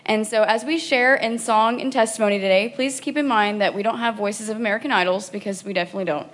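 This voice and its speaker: A woman in her twenties leading introductions at a church service, speaking in a relaxed, informal way. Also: medium pitch, quick pace. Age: 20s. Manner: relaxed, informal, with some ums. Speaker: program resident leading the introductions. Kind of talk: church presentation.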